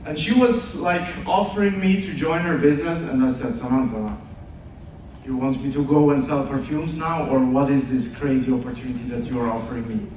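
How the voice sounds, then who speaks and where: A man's voice: mid-range voice, a little fast, a little mellow. A man, telling his story in an earnest motivational talk to an audience. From Switzerland. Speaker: speaker at an event, podcast host. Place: large event hall. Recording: distant echoey hall with noise.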